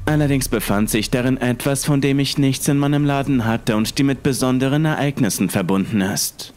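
A man's voice: mid-range voice, a little fast, darkish tone. A man reading a first-person horror story as scripted narration. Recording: faint music underneath.

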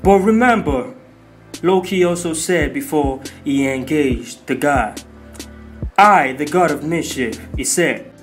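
A man's voice: fairly high pitch, expressive, takes it slowly. A man hosting a video, giving hyped, slangy commentary on a movie trailer. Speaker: video host. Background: music.

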